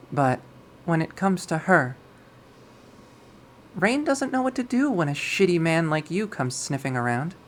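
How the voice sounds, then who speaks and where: A reader playing a possessive young woman narrates a dark visual novel in a measured, wistful tone. She sounds obsessive and coldly cruel, at a middling pitch. There is faint noise in the recording. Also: natural voice. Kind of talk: dramatic visual novel readthrough.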